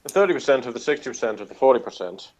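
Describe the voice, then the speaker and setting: A man's voice: mid-range voice, lively intonation, very fast, a little mellow, slight background noise, low-quality recording. A man co-hosting a review show over an online call, bantering in a wry, sarcastic way. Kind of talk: casual joking banter.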